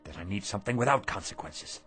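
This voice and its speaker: An actor playing a poor, desperate middle-aged shopkeeper in a radio drama, with intense, emphatic delivery. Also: male voice, fairly low pitch, animated, fast, bright, forceful tone, faint music behind. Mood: anxious, searching.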